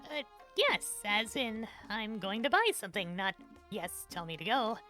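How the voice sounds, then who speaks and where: A woman playing an earnest, sweet, awkward teenage warrior in an animated fandub, eager and easily flustered in delivery. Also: medium pitch, measured pace, faint music behind. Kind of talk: animated fantasy fandub acting.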